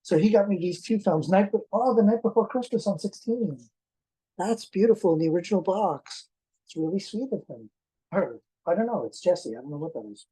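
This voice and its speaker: An excitable person presenting film finds in a casual unboxing chat, with joking asides and exclamations. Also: mid-range voice, brisk, breathy edge, low-quality recording.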